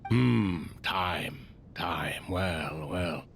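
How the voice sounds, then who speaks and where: A man playing an ancient, courtly sage in a video game, speaking in formal, deliberate, dryly playful phrases. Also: low voice, lively intonation, vocal fry, fairly bright tone, slight background noise, heavily acted. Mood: reflective, solemn.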